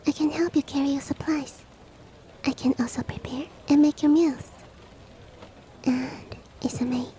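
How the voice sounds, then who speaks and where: A woman playing a polite, devoted shapeshifting monster-girl maid in an ASMR roleplay, speaking softly and often in a whisper, with formal, gently earnest courtesy. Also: high-pitched, steady tone, unhurried, rough recording. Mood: polite, eager. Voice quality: soft, breathy, often whispered. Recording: faint ambient rain noise behind. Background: soft rain ambience.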